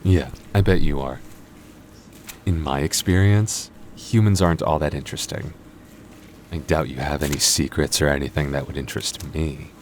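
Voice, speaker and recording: A man's voice: extremely low pitch, moderate pace, darkish tone, a little hiss or hum. A man playing a cocky, moody male vampire coworker in an intimate ASMR roleplay, teasing in a dry, flirty way. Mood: smug, teasing. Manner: smug, flirty, dry teasing with sighs and chuckles. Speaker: voice actor in audio roleplay.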